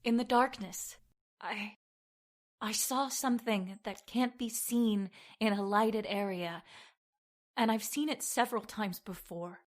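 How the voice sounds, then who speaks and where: A woman's voice: mid-range voice, unhurried, close to an everyday voice. A woman playing an anxious, frightened young woman in old-time radio horror, hesitant and dramatic. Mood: troubled.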